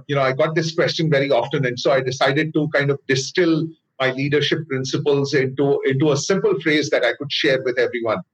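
A middle-aged man with an Indian accent, a business leader answering interview questions in a measured way, often pausing with "uh". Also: on the high side, muffled recording. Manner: thoughtful, with frequent "uh" pauses.

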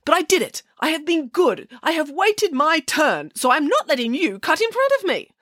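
A woman playing a bossy storybook pig nanny, full of indignation. She speaks emphatically and haughtily, with sweeping pitch and a dry sound. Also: very animated, normal speed, a bit bright, no echo. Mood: indignant, stubborn.

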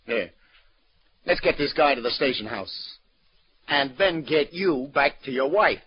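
An actor playing a world-weary, sardonic police detective in 1940s radio noir style, with slangy hardboiled talk. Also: male voice, mid-range voice, expressive, unhurried, husky, no room sound. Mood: wry, hard. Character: world-weary, wry New York police detective lieutenant, hardboiled narrator. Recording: muffled 1940s radio broadcast, music behind.

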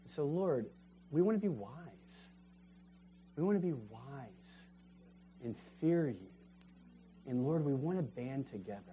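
A man preaching a sermon as pastor and leading a closing prayer, fervent, swinging from emphatic peaks to hushed prayer. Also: medium pitch, lively intonation, slow, pauses often, a little hiss or hum. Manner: fervent, rising to emphatic peaks then dropping to quiet prayer. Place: church sanctuary.

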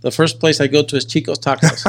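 A man's voice: on the high side, steady tone. A man hosting a podcast, interviewing casually and conversationally, often repeating words as he forms his questions. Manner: halting, repeats words while forming questions. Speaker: podcast host.

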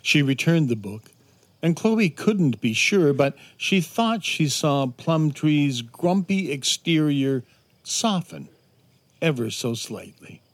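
A man reading scripted narration for a children's audio drama in a measured, dramatic storytelling manner. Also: a little high, very animated, no echo.

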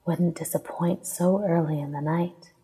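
Lady doing a sultry immortal woman for a romance audiobook, low soft purr, breathy, teasing, slow. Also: steady tone. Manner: purring, crooning, unhurried. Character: seductive, teasing ageless immortal woman, tender lover.

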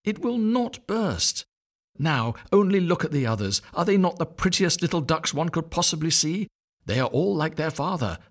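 A man voicing a fretful mother duck who sits on her eggs, speaking high and fussing. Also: big pitch swings, moderate pace. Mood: fretting.

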